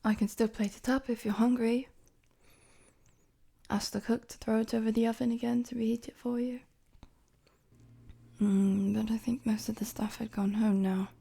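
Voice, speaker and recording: A woman acts out a young queen in an intimate audio roleplay. Her voice is mellow and very breathy, often dropping close to a whisper. She speaks with courtly politeness, gentle and a little playful, as if confiding in a trusted servant. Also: fairly high pitch, level tone, moderate pace, long pauses. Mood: apologetic, lightly teasing.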